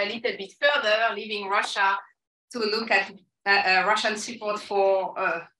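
A woman's voice: medium pitch, sharp tone. A woman moderating a conference panel at a podium, introducing the next speaker with a light, apologetic manner. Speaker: panel chair. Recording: echoey room, distant mic.

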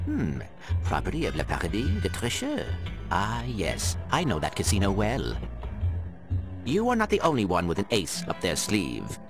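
An actor playing a small, polite robot secret agent in a video game, formal and precise. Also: male voice, low voice, vocal fry, full character voice. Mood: suave. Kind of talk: spy spoof video game cutscene acting.

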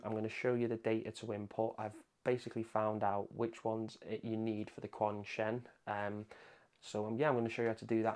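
A man's voice: fairly low pitch, darkish tone. A man presenting a casual hands-on tech tutorial, explaining things in an informal, conversational way. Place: indoor room at a home desk.

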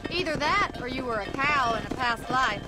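A woman's voice: sits high, lively intonation, normal speed. An actress playing a spirited young ranch woman in a western game, calling out teasingly from horseback. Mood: teasing, loud. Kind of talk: video game acting. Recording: loud music and hoofbeats behind.

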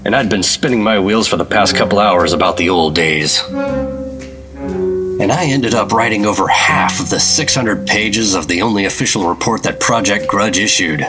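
An actor playing a wry, steady government agent who narrates a 1950s radio drama in a dry, laconic way. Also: male voice, fairly low pitch, moderate pace, lightly acted. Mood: wry, tense. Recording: voice over prominent background music.

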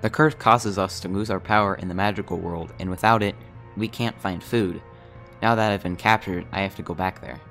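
A man's voice: deep voice, fairly even intonation, fairly quick, fairly bright tone, soft background music. Young man voicing earnest secret-magic boy Jacob. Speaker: voice actor. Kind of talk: amateur voiced game movie.

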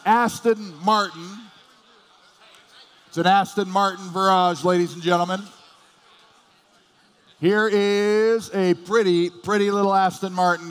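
A man working as an auctioneer, chanting bids in a rapid, repetitive patter and urging on bidders at a live car auction. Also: high voice. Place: large auction hall. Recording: hall PA with long reverb.

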